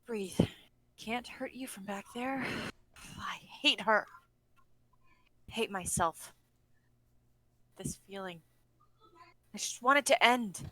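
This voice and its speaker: A woman's voice: medium pitch, slow pace, pauses often, dry recording, poor audio. A woman playing a bitter, despairing, self-loathing young woman kidnapper in a dramatic script reading, volatile and brooding. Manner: broken muttering that snaps into shouting.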